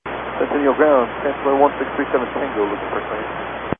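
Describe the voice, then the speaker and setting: A man's voice: medium pitch, fairly quick. A man, a pilot, making clipped readbacks over aviation radio. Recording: very noisy, hissy narrowband cockpit radio.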